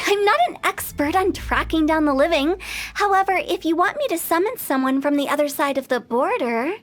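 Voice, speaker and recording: A woman's voice: very high voice, lively intonation, moderate pace, soft background music. A woman voicing a cheeky young funeral parlor director in a video game, teasing and chuckling at grim jokes. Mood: playful.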